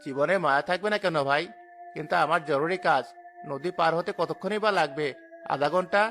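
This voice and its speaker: Sceptical city traveller for cartoon, played by a man: insistent, forceful, high, dismissive. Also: normal speed, faint music behind. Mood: urgent. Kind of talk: cartoon horror story acting.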